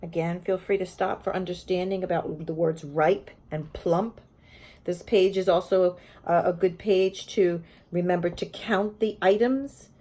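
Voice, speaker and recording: A woman's voice: lowish voice, steady tone, a little slow, mellow. A woman teacher giving an informal, explanatory lesson built around reading a children's picture book aloud. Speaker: teacher presenting educational read-aloud videos for teachers.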